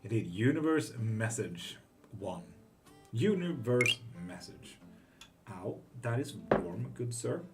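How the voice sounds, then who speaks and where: A man's voice: medium pitch, loud music behind the voice. A man from Greece, hosting a live tarot stream and reading out viewer comments in a loose, profane, chatty way. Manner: relaxed and profane, with quick laughs.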